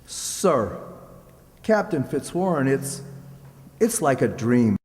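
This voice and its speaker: A man playing a humble, earnest young lad with a halting manner in a radio folktale. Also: mid-range voice, very animated, a little mellow, faint music behind. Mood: overwhelmed. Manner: halting, stammering. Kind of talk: stage-like radio play acting. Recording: echoey hall.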